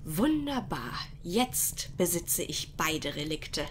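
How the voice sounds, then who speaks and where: A woman's voice: lowish voice, big pitch swings, slow pace, slight background noise. A woman playing a haughty, menacing villainess who schemes and gloats in a parody detective audio drama. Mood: gloating. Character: scheming, gloating villainess holding heroes at gunpoint.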